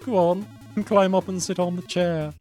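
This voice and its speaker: A man's voice: high-pitched, fairly even intonation, normal speed, a little mellow, soft background music, voice pushed up, lightly acted. A man voicing an eager, bossy cartoon sidekick with an emphatic, insistent delivery in a story reading.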